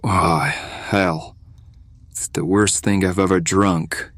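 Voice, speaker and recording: A man's voice: low voice, fairly even intonation, slow, fairly bright tone, dry recording. An actor playing a moody, bitter male hireling in an audio drama, speaking in a curt, sardonic, sullen way. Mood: grudging.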